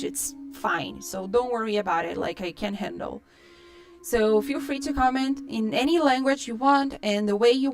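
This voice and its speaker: A woman with a Brazilian accent, a native Portuguese speaker, chatting casually and in a rambling way to livestream viewers as a storyteller host. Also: mid-range voice. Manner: rambling, peppered with "like".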